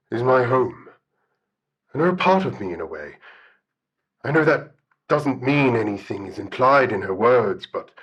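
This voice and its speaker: Man voicing a slow, husky, solemn guardian spirit. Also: mid-range voice, a bit bright, heavily acted, low-quality recording. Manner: formal, hesitant, articulate speech. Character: solemn, shy, bear-like male guardian spirit of ruins. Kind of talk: audio drama radio call-in acting.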